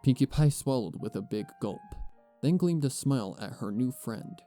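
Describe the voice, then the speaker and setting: A man's voice: mid-range voice, expressive, moderate pace, warm tone. A man giving scripted storybook narration as the narrator of a fan fiction audio reading. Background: music.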